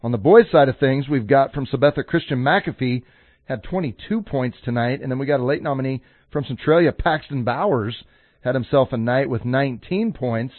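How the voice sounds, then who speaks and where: An easygoing man from Colorado co-hosts a sports radio show and reads out high school scores with enthusiasm. His voice is mid-pitched. The sound is dry and narrow, like a phone call. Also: moderate pace, no echo. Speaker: radio co-host. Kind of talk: casual sports radio chat.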